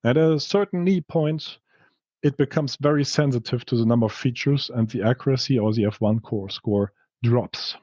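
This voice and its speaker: A man presents research findings in non-native English with a soft-edged, dark and relaxed voice. His delivery is halting and explanatory, with self-corrections. The sound is close and dry, a little muffled in fidelity. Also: medium pitch, no room sound. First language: not English. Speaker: researcher presenting study results. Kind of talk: technical research presentation with slides. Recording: slightly dull, dry lo-fi audio.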